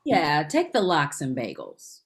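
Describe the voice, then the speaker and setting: Woman playing a deep, husky, knowing schemer. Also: wildly swooping pitch, unhurried, full character voice. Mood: dry, suspicious. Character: shrewd, suspicious woman, dryly knowing. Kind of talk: comedy script table read.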